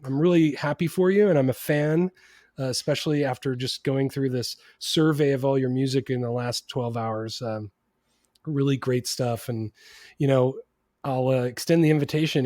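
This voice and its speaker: A man's voice: mid-range voice, a little mellow, no echo, studio quality. Jokey man hosting a podcast. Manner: jokey, friendly, laughs at his own jokes. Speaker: podcast host. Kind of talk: casual interview and show outro.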